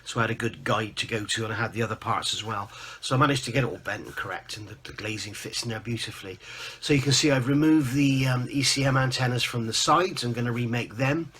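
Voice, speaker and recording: A man with a croaky voice, recovering from losing it, chatting informally as the host of a scale modelling vlog. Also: medium pitch, fast, a bit bright, a little hiss or hum. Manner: chatty, informal, rambling show-and-tell. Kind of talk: casual hobby update to camera.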